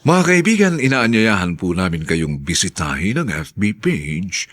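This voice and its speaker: A man's voice: low voice, very animated, unhurried, a bit bright. A man hosting and formally announcing the credits of a radio drama.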